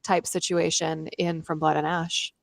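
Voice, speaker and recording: Female book podcast co-host, lower-pitched, conversational delivery, dry recording. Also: level tone, measured pace, vocal fry, no room sound. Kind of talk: casual podcast chat.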